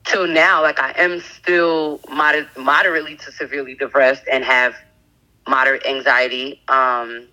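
A woman in her thirties, a podcast guest sharing a candid personal testimony in a halting way, with many fillers and restarts. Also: extremely low pitch, fairly even intonation, sharp tone, low-quality recording. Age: 30s.

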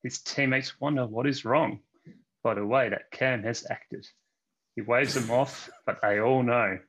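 A man's voice: medium pitch, fairly even intonation, a little slow, a bit bright, rough recording. A man reading comic fan fiction verse aloud like a mock-romantic storyteller. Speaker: podcast co-host.

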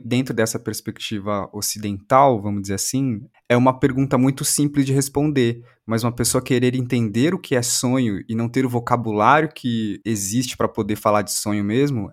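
A Brazilian man interviewing on a podcast, musing analytically in a reflective conversation. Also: medium pitch.

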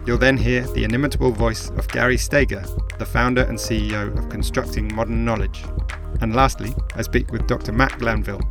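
Man hosting a podcast over music. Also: fairly low pitch. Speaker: podcast host.

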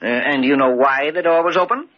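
A man's voice: on the high side, brisk, close to an everyday voice. An actor playing a stubborn, evasive retired old man with a menacing streak, hesitating and repeating himself in old-time radio style. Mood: cagey, flustered. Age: older. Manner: hesitant, evasive, muttering repetitions. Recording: muffled, noisy old radio broadcast.

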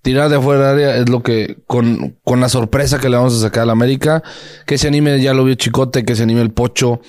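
A man's voice: mid-range voice, fairly even intonation, fairly quick, darkish tone, no echo. A young adult man with a Mexican Spanish accent, co-hosting a fan podcast. He teases his friend and gives confident match predictions in slangy talk. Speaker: podcast co-host. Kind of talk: casual chat.

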